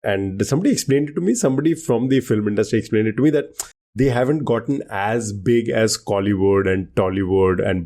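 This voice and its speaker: A man's voice: fairly low pitch, mellow. A man whose first language is Hindi, co-hosting a podcast in casual, enthusiastic chat with lots of fillers and restarts. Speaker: podcast co-host.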